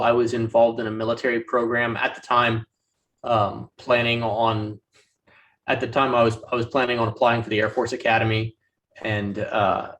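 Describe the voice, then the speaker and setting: A man in his thirties, a veteran guest, speaking casually and haltingly with many ums and restarts. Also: medium pitch, fairly bright tone. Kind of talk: casual podcast conversation. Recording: voice distant from the mic.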